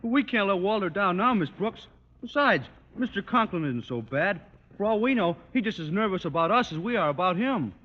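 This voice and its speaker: An actor playing a simple, good-natured teenage schoolboy in a 1940s radio sitcom. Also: male voice, high voice, animated, fairly quick. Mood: reassuring. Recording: muffled, faintly noisy vintage radio recording.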